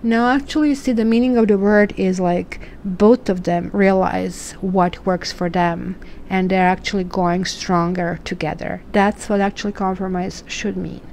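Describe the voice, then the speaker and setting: A woman's voice: mid-range voice, fairly even intonation, normal speed, hardly any pauses, darkish tone, a little hiss or hum. A woman from Croatia with a Croatian accent, reading tarot cards in a chatty, musing way and asking questions aloud. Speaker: tarot reader.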